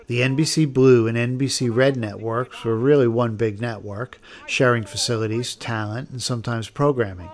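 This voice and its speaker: An American man reading scripted documentary narration. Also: mid-range voice.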